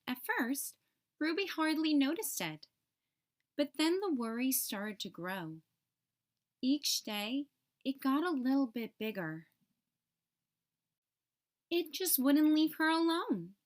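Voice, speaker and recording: A woman with a high voice narrates a children's storybook at an unhurried pace. She phrases each line expressively and leaves deliberate pauses, in the style of a teacher at storytime. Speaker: teacher reading aloud a picture book for children.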